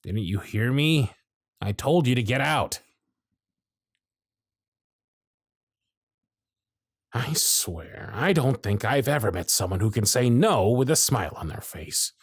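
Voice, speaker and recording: A man voicing a jaded, century-old male workshop supervisor who is gruff, weary, sardonic and blunt, in an ASMR roleplay with close miking. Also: mid-range voice, expressive, normal speed, dry recording. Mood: weary, stern. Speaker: voice actor in audio roleplay.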